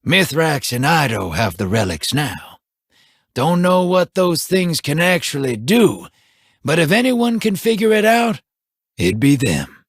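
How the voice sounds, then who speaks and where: A husky, wisecracking young scout. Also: male voice, on the high side, very animated, a little slow, dry recording, studio quality, heavily acted. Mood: warm. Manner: casual, joking, wry. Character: wry young human scout, easygoing and self-mocking.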